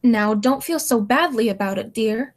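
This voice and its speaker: A woman's voice: on the high side, normal speed, lightly acted. A young woman playing a kindly, prim elderly aunt in a radio play, motherly and fussy. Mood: soothing.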